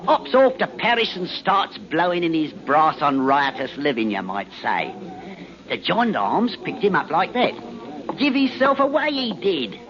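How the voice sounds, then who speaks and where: An actor playing a matey, nosy Cockney pub regular, garrulous and slangy, in 1940s radio drama style. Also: male voice, fairly high pitch, sing-song, measured pace, a crowd in the background. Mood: chatty. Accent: Cockney English. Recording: muffled 1940s radio recording.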